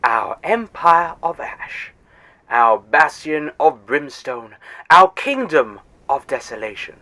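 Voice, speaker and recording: Man voicing a condescending alien, sarcastic, lively swooping delivery, audio drama. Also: on the high side, slow pace, heavily acted. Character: arrogant sarcastic male alien Eternal guide.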